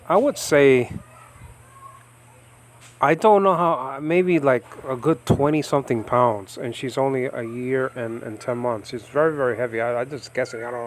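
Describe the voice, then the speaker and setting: A man from the United States, hosting an informal Bible study in a halting, rambling way and lifting his voice when he reads scripture aloud. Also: slight background noise. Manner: rambling, halting, lifts pitch and volume when reading scripture. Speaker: Bible teacher and ministry host. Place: home in the Philippines.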